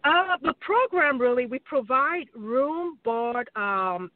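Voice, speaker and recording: A woman's voice: high-pitched, animated, takes it slowly, no room sound. Earnest woman over a phone line. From Nigeria. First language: Yoruba. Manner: earnest, careful, with many ums. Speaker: guest, social worker. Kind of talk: interview answers.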